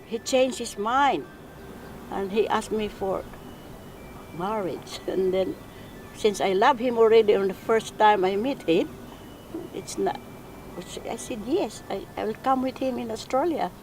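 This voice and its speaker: A Filipino woman talks shyly about her marriage, laughing now and then. She speaks very slowly. Faint ambient noise sits behind her. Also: medium pitch. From Philippines. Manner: slow, shy, giggly. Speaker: interviewee, wife. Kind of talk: casual interview.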